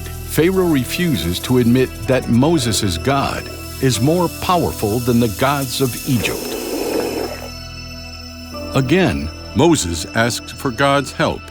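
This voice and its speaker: A man narrating a scripted children's story in a dramatic, expressive way. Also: medium pitch, takes it slowly. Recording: loud music behind the voice. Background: music.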